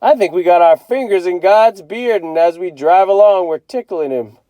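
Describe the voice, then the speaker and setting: Guy doing an easygoing story dad, bit higher voice, dreamy and playful. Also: normal speed, dark tone, clear, resonant tone, no echo, close to an everyday voice. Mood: delighted wonder.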